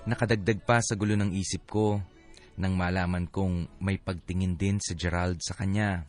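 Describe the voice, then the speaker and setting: A man acting as a torn, heavy-hearted lover, narrating his story in first person for a radio drama in a reflective, measured way. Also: deep voice, steady tone, soft background music, close to an everyday voice. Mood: reflective, troubled.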